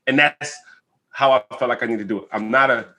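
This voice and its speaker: An American man with a bright, forceful voice and an average pitch gives reflective interview answers. He is earnest and emphatic, talking conversationally and often checking that his listener follows. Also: brisk. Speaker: interview guest, rapper.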